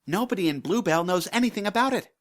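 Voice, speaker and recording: A woman's voice: mid-range voice, lively intonation, quick pace, natural voice. Woman voicing a sheepish young farm lad. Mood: worried. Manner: hesitant, sheepish. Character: hapless, apologetic young man, village farmer and ladies' man. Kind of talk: hobby radio play acting.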